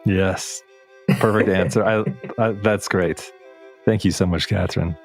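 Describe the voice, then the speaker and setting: A man's voice: sits low, steady tone, unhurried, soft background music. A man hosting a podcast interview in a conversational, earnest and appreciative way. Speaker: podcast host.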